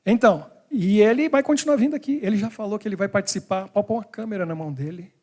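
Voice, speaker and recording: A man's voice: high voice, quick pace, a little mellow. A man preaching a sermon as pastor, talking to his congregation in a conversational way. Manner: conversational, talks to the congregation directly. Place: church hall in Brussels.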